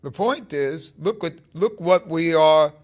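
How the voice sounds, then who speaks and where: A man in his fifties giving an informal spiritual talk to his phone, halting and rambling, with emphatic stresses and a gravelly voice. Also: on the high side. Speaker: spiritual teacher. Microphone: phone held close at arm's length.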